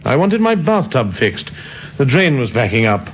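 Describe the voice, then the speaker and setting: An actor playing a defiant, irritated young solicitor in an old radio drama, with curt, challenging delivery. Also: male voice, mid-range voice, sing-song, moderate pace, lightly acted. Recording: noisy, muffled vintage radio recording.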